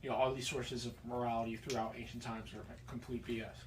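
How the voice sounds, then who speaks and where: A man poses questions in a loose, offhand way and swears casually as he goes. His pitch is ordinary. A faint hiss sits behind his voice. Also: little pitch movement, fast talker, recorded from a distance, poor audio. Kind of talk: casual discussion.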